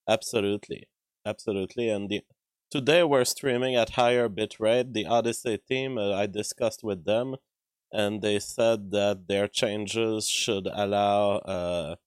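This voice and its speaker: A man hosting a live stream, hoarse from a cold, talking in a halting way with many fillers and throat-clearing. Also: fairly low pitch, fairly even intonation, dry recording. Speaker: livestream show host. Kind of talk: casual live-stream commentary reading chat. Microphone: streaming microphone.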